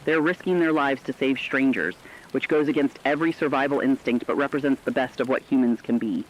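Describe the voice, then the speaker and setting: A man's voice: medium pitch, a little fast, muffled recording, close to an everyday voice. A man playing a troubled, earnest co-host in an apocalypse radio drama, speaking solemnly and reflectively. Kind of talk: scripted dramatic radio commentary.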